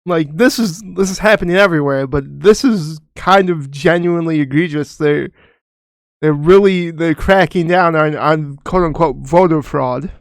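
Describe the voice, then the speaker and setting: A young man co-hosting a casual podcast, talking earnestly and halting with restarts. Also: on the high side, no room sound, clean studio sound. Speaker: podcast co-host. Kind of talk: casual chat.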